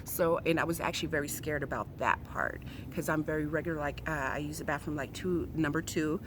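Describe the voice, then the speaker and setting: Frank, low-voiced woman in her forties. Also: a little fast, noisy background. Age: 40s. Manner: frank, chatty, emphatic, talks straight to viewers. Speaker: vlogger. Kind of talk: casual personal vlog, talking to viewers.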